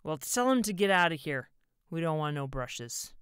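A woman's voice: sits low, sing-song, moderate pace, fairly bright tone, clear, resonant tone, no echo, clean studio sound. A woman voicing a surly drunken husband in a storybook, with thick, sneering, coarse speech. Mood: sneering, hostile.

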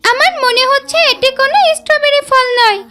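A woman voicing an excitable, wide-eyed little girl in a children's cartoon. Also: extremely high pitch, a little fast. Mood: amazed. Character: excitable, pious little village girl Maisha.

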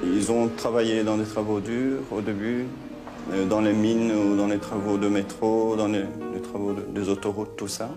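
A man speaking in a measured, explanatory way in a documentary interview, often repeating words as he goes. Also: fairly low pitch, little pitch movement. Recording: music playing under the voice.

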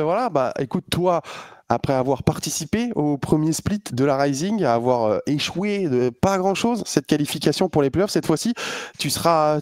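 A man's voice: fairly high pitch, smooth, no room sound. Casting note: male esports caster, chatty and easygoing, dry close headset sound. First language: French. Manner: relaxed, chatty, many fillers and restarts. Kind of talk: esports commentary and player interview.

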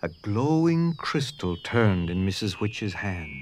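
Animated, dramatic story narrator. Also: male voice, on the low side, slow pace, background noise. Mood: hushed wonder. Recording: faint music under narration.

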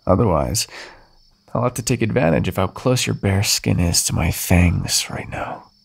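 A man's voice: on the low side, normal speed, vocal fry, breathy edge. A man voicing a centuries-old male vampire in an audio roleplay, condescending and teasing with sudden threats. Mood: menacing.

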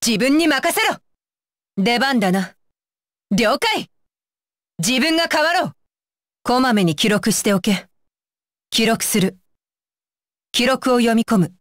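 A woman's voice: a little high, sing-song, slow pace, pauses often, dry recording, clean studio sound. A woman voicing a stern, dutiful young female ninja bodyguard for an anime game, with clipped, commanding and blunt phrasing. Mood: announcing, raised, then calm, instructive. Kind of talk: Japanese anime game voice lines.

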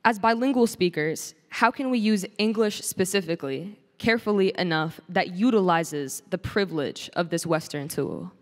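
Young woman, slightly low voice, formal prepared questions, echo of a big hall. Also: expressive, normal speed, few pauses. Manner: careful, formal phrasing. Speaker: moderator. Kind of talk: reading prepared interview questions. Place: large auditorium stage. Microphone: handheld mic. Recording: long reverb of a large hall.

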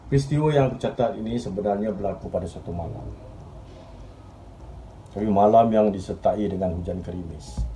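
A man acting an earnest, restless journalist in a dramatic stage monologue, speaking in deliberate literary phrases with pauses. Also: mid-range voice, dark tone, a little hiss or hum, natural voice, poor audio. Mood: confiding, troubled. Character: earnest, restless male journalist reminiscing about his admired mentor. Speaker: stage actor. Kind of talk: theatrical monologue in Malay drama.

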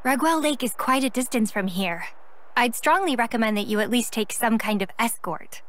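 Formal, level-headed woman operator, higher-pitched. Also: expressive, normal speed, fairly bright tone. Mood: composed. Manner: formal, precise. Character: level-headed female operator advising caution. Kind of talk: video game cutscene acting.

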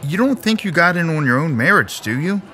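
Man playing a sneering, sharp-voiced tormentor. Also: on the high side, animated, brisk, a little hiss or hum. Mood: mocking. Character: mocking, scornful male tormentor babysitting a spoiled young man. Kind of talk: audio drama acting.

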